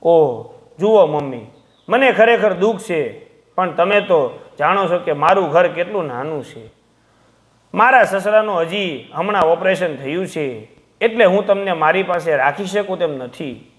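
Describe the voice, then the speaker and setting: A man's voice: high-pitched, expressive, a little slow. A man voicing an apologetic, evasive grown son in a moral story, making excuses in a placating manner.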